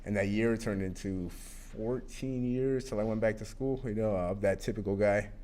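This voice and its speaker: A man's voice: on the low side, steady tone, normal speed, mellow. A man in his thirties telling his recovery story at a support meeting, candid and rambling with lots of fillers and cursing. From Arizona. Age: 30s. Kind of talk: personal recovery testimony.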